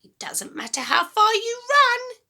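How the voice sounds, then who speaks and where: A woman voicing a sinister, gleeful old grandmother from a children's storybook, throaty and whispery, with a drawn-out, menacing delivery. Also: very high-pitched, sing-song, bright, forceful tone, voice pushed up, heavily acted. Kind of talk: dramatic children's storybook reading.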